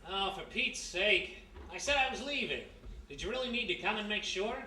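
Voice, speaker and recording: An actor playing a gruff, wry former thief turned private detective in a 1930s-style radio mystery. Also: male voice, high voice, expressive, normal speed, sharp tone, heavily acted. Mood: irritated. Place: live performance in a historic house. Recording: roomy live stage recording, distant mic, faint noise.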